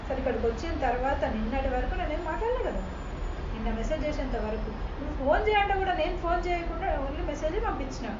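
A woman's voice: sits high, lively intonation, fast. A young woman arguing privately on the phone in an exasperated, accusatory, insistent way. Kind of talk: heated private phone argument. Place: roomy space, recorded phone conversation. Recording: noisy, roomy, muffled leaked phone-call recording.

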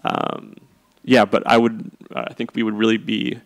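A man's voice: on the low side, moderate pace, gravelly. A man speaking on a conference panel with a handheld mic, hesitant, with many fillers. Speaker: panelist, software engineer. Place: large conference hall with audience.

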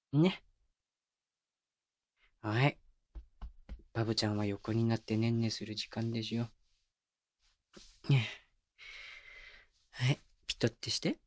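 A man acting as a tender young boyfriend in an ASMR audio drama. He speaks in a soft, breathy murmur, very close and dry on a binaural mic. His manner is doting and teasing, slipping into coaxing baby talk. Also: mid-range voice, no echo. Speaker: voice actor. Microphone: close binaural microphone, dry.

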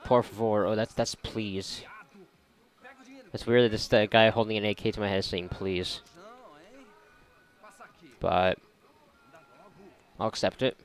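Low-voiced sarcastic man doing game commentary. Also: level tone, measured pace, long pauses. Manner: sarcastic, joking, profane. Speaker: gamer, video creator. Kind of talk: casual let's play commentary. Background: game music.

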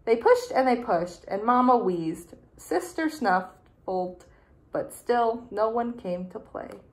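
Young lady teacher doing storytime, highish voice, takes her time, really expressive. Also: poor audio. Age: young adult. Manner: deliberate, animated storytelling for small children. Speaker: teacher reading a children's story. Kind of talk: expressive picture-book read-aloud. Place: small room indoors.

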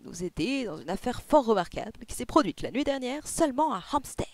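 Someone reading as a solemn, official male police inspector in a detective storybook. He speaks earnestly and emphatically, with formal politeness, pitched up from the narration in a dry, close recording. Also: sing-song, fairly quick, no room sound, lightly acted. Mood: earnest, eager.

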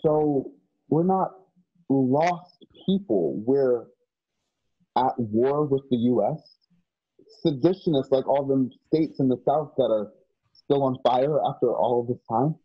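A man playing a puzzled, plain-spoken young man in a radio play, halting and doubtful, over a video call. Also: medium pitch, husky. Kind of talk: remote radio play reading. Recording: dull lo-fi video call audio.

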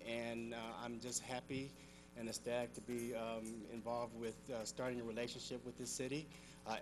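Union rep man, flat delivery, halting speech, clear hum. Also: medium pitch, poor audio. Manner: hesitant, many fillers. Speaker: union representative. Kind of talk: public comment. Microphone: standing audience microphone.

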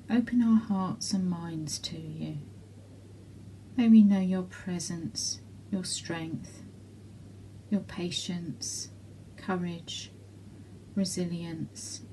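A woman leading intercessory prayers in a measured way, pausing between short petitions. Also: on the low side, warm tone, slight background noise, low-quality recording. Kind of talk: slow spoken intercessory prayer.

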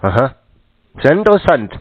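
A man speaks in terse, laconic replies on a call. He stays deadpan and unimpressed, and his voice sounds tinny and phone-like. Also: medium pitch, moderate pace, slightly rough, no room sound. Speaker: scambaiter taking scam phone calls.

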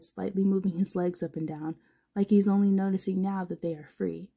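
A woman's voice: mid-range voice, creaky, dark tone, slightly rough. A woman narrating fan fiction informally as a podfic reader, now and then stumbling over words.

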